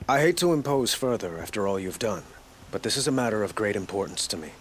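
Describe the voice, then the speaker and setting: A man playing an earnest, troubled young king in a video game, speaking in a measured, courtly, formal way. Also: lowish voice, animated, a little hiss or hum, natural voice, rough recording. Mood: regal, resolute. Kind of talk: video game cutscene acting.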